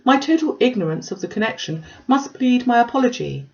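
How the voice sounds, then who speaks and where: A woman's voice: on the high side, sing-song, fairly quick, darkish tone, pitched above the performer's own voice. Woman voicing a pompous, eager clergyman, slightly muffled. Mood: excited, self-important. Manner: pompous, wordy, formal. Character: pompous, self-important clergyman, eager and obsequious. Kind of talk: audiobook character dialogue.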